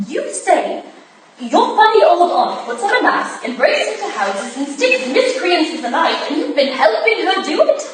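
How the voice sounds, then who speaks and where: A high-voiced Victorian wife, played by an actress in a period stage play, hurt and indignant. She challenges and reproaches pointedly, heard at a distance in a very echoey room. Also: big pitch swings, moderate pace, crowd noise. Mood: incredulous, hurt. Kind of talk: staged reading of a period drama. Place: staged reading in a room, recorded from afar.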